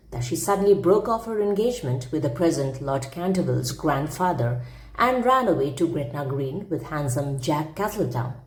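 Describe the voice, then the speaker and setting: A woman storyteller with a lowish, mellow voice reads a classic tale aloud. Her delivery is theatrical and emphatic, swinging widely in pitch. The sound is roomy and echoing. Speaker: storyteller, audiobook narrator. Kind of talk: expressive read-aloud narration of a classic humorous story.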